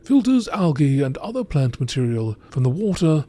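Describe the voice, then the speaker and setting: A man reading game lore entries as scripted nature documentary narration, in a measured, formal, deliberate manner. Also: on the high side, big pitch swings, mellow.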